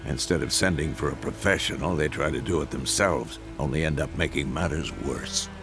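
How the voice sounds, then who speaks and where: An actor playing a grizzled older monster hunter, a wry mentor with a gravelly voice who teases dryly. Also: male voice, extremely low pitch, fairly quick. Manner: dry, teasing, matter-of-fact. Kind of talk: video game voice acting. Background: game music.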